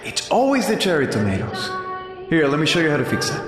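A friendly, tipsy man with a higher, swinging voice, echoing over music. He rambles loosely, in audio drama style. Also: wildly swooping pitch, fast. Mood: tipsy, helpful. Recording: echoey reverb over music.

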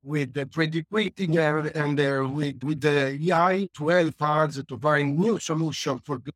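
A man with an Italian accent, an architect speaking on a panel, halting with many filler pauses. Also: a little high, steady tone, no echo. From Italy. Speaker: architect and glamping designer. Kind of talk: panel discussion.

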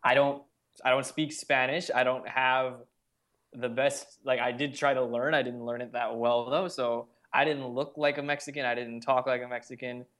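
A man's voice: mid-range voice, level tone, moderate pace, fairly bright tone. A young adult man, a podcast guest, telling a personal story in an earnest, rambling way full of "like". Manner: earnest storytelling, frequent "like" fillers. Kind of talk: casual conversation.

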